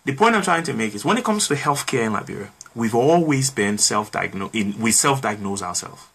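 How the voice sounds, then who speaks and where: A man's voice: medium pitch, lively intonation, fairly quick, creaky, fairly bright tone, breathy edge. A young man appearing as a talk show guest in a small home studio, explaining his point through everyday examples and building it up by repetition. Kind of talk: explanatory conversation.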